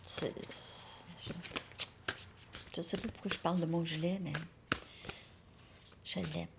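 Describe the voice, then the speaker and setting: A woman's voice: lowish voice, fairly even intonation, slow, vocal fry, very noisy. Chatty woman reading tarot cards. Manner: chatty, thinks aloud, repeats words, drops into soft asides. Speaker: tarot card reader. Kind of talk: casual live card reading.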